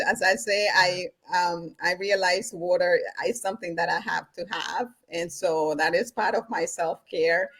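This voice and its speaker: A woman's voice: lowish voice, fairly bright tone, rough recording. A middle-aged woman from Honduras, guesting on an interview show in a casual, upbeat way and laughing often as she talks about her work. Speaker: guest, holistic business teacher.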